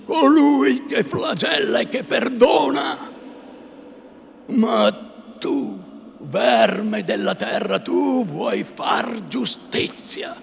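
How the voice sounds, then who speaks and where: Man playing a stern old friar, high booming shouts, gravelly, slow, echoey hall. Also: big pitch swings, slight background noise, heavily acted. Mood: thundering rebuke. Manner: solemn, rising to furious shouts. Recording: echoey hall, faint ambience.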